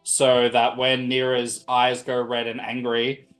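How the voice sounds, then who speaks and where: A man's voice: medium pitch, completely flat delivery, takes it slowly, fairly bright tone, faint music behind, rough recording. A man playing a tabletop game, explaining his moves in casual, conversational talk.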